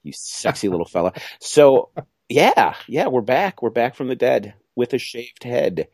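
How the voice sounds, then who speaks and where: A man's voice: medium pitch, very animated, unhurried, darkish tone, dry recording, studio quality. A middle-aged man, co-hosting a podcast in a jokey, rambling, self-mocking way. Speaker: podcast co-host. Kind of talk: casual chat.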